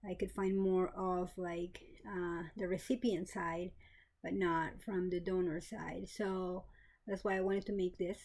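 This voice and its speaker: A woman in her thirties from Peru, casually telling her own story with many pauses and restarts, plain and matter-of-fact. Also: on the low side, level tone, a little mellow. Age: 30s. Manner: hesitant, many fillers and restarts. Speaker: kidney donor. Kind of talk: casual personal vlog.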